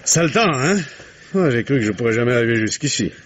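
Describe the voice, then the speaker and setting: An actor playing a friendly, nosy reporter with a chatty, coaxing manner in a 1950s-style radio drama. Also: male voice, mid-range voice, lively intonation, quick pace, a bit bright, slight background noise, natural voice. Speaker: journalist.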